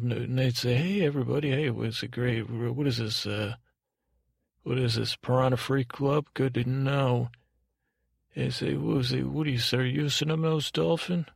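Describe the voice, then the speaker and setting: A man's voice: medium pitch, creaky, dry recording, studio quality. A man hosting a sleep podcast, rambling in a soothing, lulling tone with a hesitant, digressive, self-deprecating manner. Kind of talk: rambling, meandering bedtime monologue.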